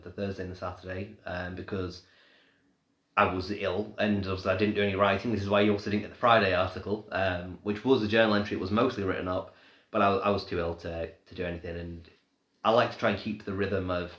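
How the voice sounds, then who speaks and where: A man's voice: low-pitched, fairly bright tone. Nasal-sounding man with a cold, echoey room. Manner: rambling, many ums and restarts. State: ill, slightly nasal. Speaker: paranormal blogger. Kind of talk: casual live stream update.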